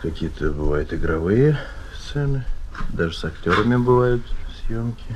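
A man's voice: fairly low pitch, very animated, moderate pace, dull audio. A man speaking as an animal trainer, explaining his work matter-of-factly in unscripted interview answers. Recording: noisy location recording with animal sounds.

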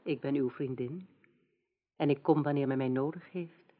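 Evil sorceress in an old radio play, deep husky voice, sly fake-friendly, dull sound. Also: female voice, normal speed. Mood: insinuating. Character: scheming evil sorceress posing as a soothing friend. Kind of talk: radio drama acting.

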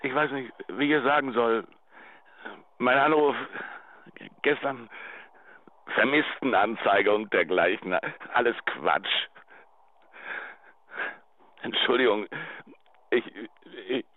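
An actor playing a remorseful, clingy husband who stammers through a pleading answering machine message in a radio drama. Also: male voice, medium pitch, no echo. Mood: agitated, apologetic. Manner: halting, stammering. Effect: telephone answering machine filter. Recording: tinny narrow phone-line sound.

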